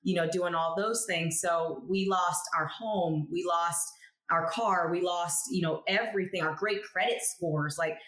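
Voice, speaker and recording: A woman's voice: lowish voice, a little slow. A woman in her thirties, a chemist guest telling stories in a casual interview, acting out the people she quotes. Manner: expressive storyteller who acts out quoted people. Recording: roomy echo.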